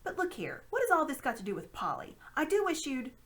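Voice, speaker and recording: A woman's voice: sits high, big pitch swings, brisk, a bit bright, voice pushed up. A woman voicing an indignant young boy with a blunt, questioning manner, as in a storybook reading. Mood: protesting. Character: indignant, plain-spoken young boy challenging his uncle. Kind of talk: storybook read-aloud character voice.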